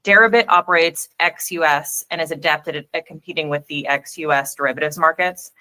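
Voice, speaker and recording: A woman's voice: low-pitched, a little fast, bright, forceful tone, rough recording. A woman speaking as a company executive, giving a structured, businesslike answer on an earnings call.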